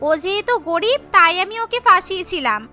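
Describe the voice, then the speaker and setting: A woman's voice: extremely high pitch, sing-song, brisk, sharp tone, faint music behind, exaggerated voice. A woman voicing a rich, scheming elderly girlfriend in a cartoon, coaxing and haughty. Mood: defensive pleading. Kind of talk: Bengali cartoon voice acting.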